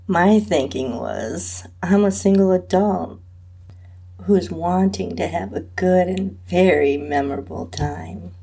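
A woman with a husky, breathy voice reading a first-person erotic story as its narrator. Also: fairly low pitch, mellow. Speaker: podcast host and narrator.

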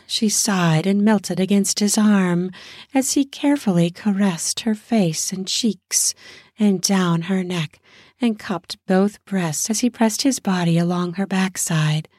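A woman narrating a scripted erotic story in an intimate, deliberate manner with drawn-out phrasing. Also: mid-range voice, a little mellow, no room sound, studio quality.

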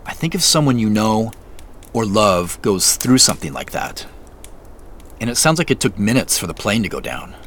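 A man's voice: on the low side, sing-song, moderate pace, fairly bright tone. An actor playing a wry, wistful, easygoing man who guards his feelings, in naturalistic audio drama dialogue. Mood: guarded, sorrowful. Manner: easygoing, self-joking, guarded about feelings. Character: wistful, wry man on a road quest, grieving parents. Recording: noticeable outdoor ambient noise.

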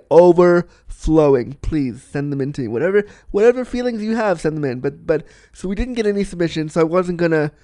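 Male podcast host, slightly high voice, sniffly with a cold, loose and chatty. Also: mellow, no echo. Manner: chatty, rambling, repeats himself. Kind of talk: casual solo podcast chat.

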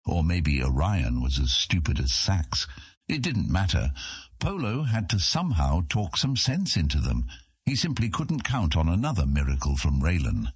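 A man reads scripted fiction in a very low voice with a husky grain. His intonation moves freely as he tells the story, and the sound is close and dry. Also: big pitch swings, normal speed, dry recording. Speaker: audiobook narrator.

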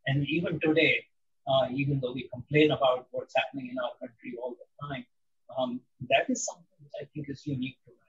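A man in his sixties from India, answering interview questions in a measured, reflective way with frequent pauses and ums. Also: medium pitch, animated, far from the mic, dull audio. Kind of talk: interview conversation.

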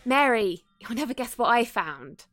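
A woman playing an eager, flustered, soft-hearted ghost in a conversational audio drama. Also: fairly high pitch, very animated, moderate pace, no room sound, studio quality, faint music behind. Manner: hesitant, conversational, easily sidetracked.

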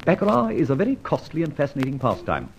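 An actor playing a suave, flippant gentleman adventurer in an old-time radio serial, with breezy old-fashioned slang. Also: on the high side, wildly swooping pitch, fast, vocal fry, exaggerated voice. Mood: breezy, teasing. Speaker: narrator and lead actor. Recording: muffled vintage radio recording, faint noise.

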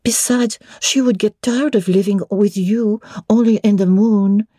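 A woman's voice: mid-range voice, fairly even intonation, a little slow, dark tone, no echo, studio quality, voice pushed up, lightly acted. A woman voicing a pert, practical little girl, questioning and arguing in a dramatic audiobook reading. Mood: pert objecting. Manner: pert, matter-of-fact, questioning.

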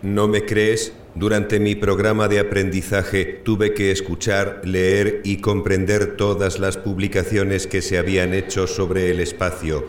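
A man playing a calm, eloquent artificial intelligence in an audio drama, speaking in a measured, courteous way. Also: low-pitched. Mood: courteous, wry. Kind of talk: scripted audio drama press conference. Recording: echoey room with faint noise.

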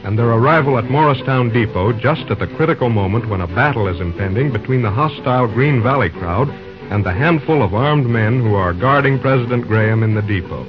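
A man's voice: medium pitch, lively intonation, brisk. A man announcing and narrating an old-time radio serial from a script. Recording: muffled old radio recording, music behind.

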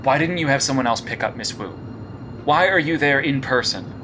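A man's voice: medium pitch, expressive, a little fast, music in the background, close to an everyday voice. An actor playing a persistent male investigator with a hero license, questioning and pressing in an audio drama.

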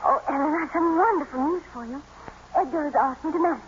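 A muffled vintage radio recording with faint noise of an actress as a willful young gentlewoman. She speaks with proud, theatrical passion and strong emphasis. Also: high voice, quick pace, close to an everyday voice. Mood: excited, self-satisfied. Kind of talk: 1950s radio drama acting.

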